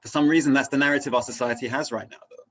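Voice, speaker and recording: A man talking casually and reflectively as a guest, haltingly searching for his words and often checking in with "right?". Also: mid-range voice, fairly even intonation, a bit bright, highs cut off. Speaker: interview guest on spirituality.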